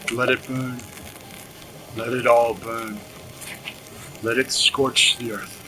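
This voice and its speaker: A man plays a bitter, superstitious villager in an audio drama. His voice is mid-pitched and forceful, with a little noise behind it. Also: flat delivery, takes it slowly, poor audio.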